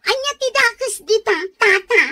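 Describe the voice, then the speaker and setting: Chatty village gossip, piercing high sharp voice, radio comedy. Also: female voice, normal speed. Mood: defensive. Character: chatty, meddlesome village gossip woman dropping by. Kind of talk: radio comedy drama acting.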